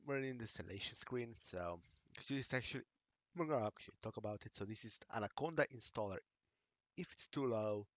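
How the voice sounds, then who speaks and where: A man with a Slovenian accent, his native tongue Slovenian, talking through a live screen-share tutorial in a halting, self-correcting way. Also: lowish voice, fairly bright tone, dry recording. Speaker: tech streamer.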